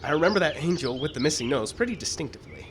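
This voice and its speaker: A man's voice: a little high, animated, very fast, close to an everyday voice. A man playing a fussy, suspicious, aggrieved screenwriter in a live radio-style comedy, plaintive and literal-minded. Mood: storytelling. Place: outdoor park stage. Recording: outdoor live show with background noise. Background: birds and outdoor ambience.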